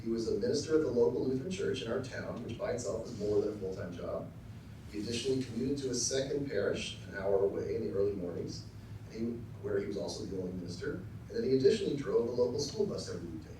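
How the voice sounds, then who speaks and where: A middle-aged man from Texas giving a formal acceptance speech with dry, self-deprecating humor, often pausing with ums and uhs. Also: on the low side, mellow, slight background noise. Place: large lecture hall. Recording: very echoey hall, voice from far off.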